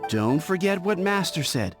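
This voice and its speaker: A man's voice: high-pitched, very animated, moderate pace, over music. A man playing an earnest, easily flustered young pharmacy assistant in a video game. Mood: dutiful. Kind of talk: video game character acting.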